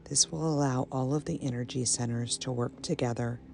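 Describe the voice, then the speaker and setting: A woman guiding a meditation in a soothing, measured manner, pausing between short phrases. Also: very deep voice, fairly even intonation, gravelly, a little hiss or hum. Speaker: meditation guide. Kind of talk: guided meditation, slow and soothing. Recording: soft binaural music bed. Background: ambient binaural meditation music.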